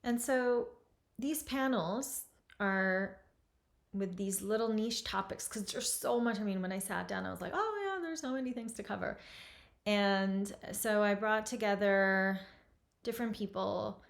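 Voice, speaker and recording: A woman host in her forties, from Lebanon, speaking at an unhurried pace with a middle-range voice. She talks reflectively and softly, taking her time over each thought. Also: medium pitch, vocal fry. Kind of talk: reflective event introduction.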